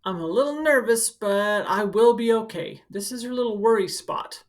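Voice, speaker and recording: A woman's voice: fairly high pitch, normal speed. A woman school counselor reading a picture book aloud to children, adding informal, instructive asides as she goes. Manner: instructive, speaking directly to children.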